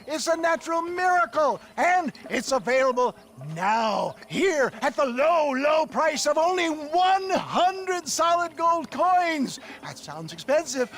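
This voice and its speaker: An actor playing a slippery, flamboyant patent-tonic huckster in a game, with grandiloquent carnival-barker patter. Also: male voice, extremely high pitch, a bit bright, heavily acted. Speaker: snake oil salesman. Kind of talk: theatrical sales patter in a game cutscene.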